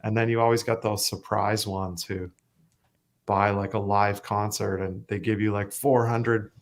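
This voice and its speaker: Low-voiced Canadian man, monotone. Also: a little slow. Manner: rambling, full of fillers and restarts. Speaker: musician guest. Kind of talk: casual interview chat.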